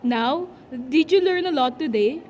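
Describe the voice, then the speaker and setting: Woman playing a high-pitched puppet doctor teaching. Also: expressive, a little slow, a little mellow, a little hiss or hum. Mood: instructive. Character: doctor reminding young twins of lesson, puppet show. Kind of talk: scripted puppet dialogue.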